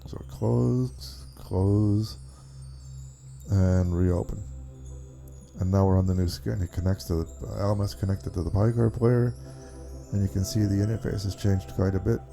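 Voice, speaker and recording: A man giving a casual, unscripted software walkthrough, explaining things step by step in an informal way. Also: low-pitched, fairly even intonation, a little slow, gravelly, soft background music. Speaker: tutorial presenter.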